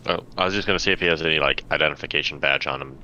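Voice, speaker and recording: A man with a deep voice and a forceful edge chats quickly during a tabletop game. His microphone sounds slightly lo-fi. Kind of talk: casual game chat. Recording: dull lo-fi mic, faint noise.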